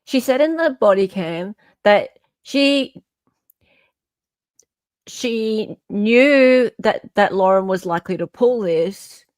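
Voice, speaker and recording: Australian woman, mid-pitched voice, dry recording, casual host, thinking aloud, rephrasing often. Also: animated, takes it slowly, dry recording. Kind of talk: casual commentary and discussion.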